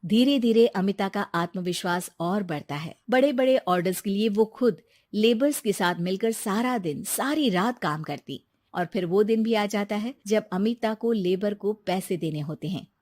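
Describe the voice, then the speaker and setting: A woman's voice: mid-range voice, normal speed, a little mellow, no room sound, studio quality. A woman narrating a scripted moral story as a storyteller.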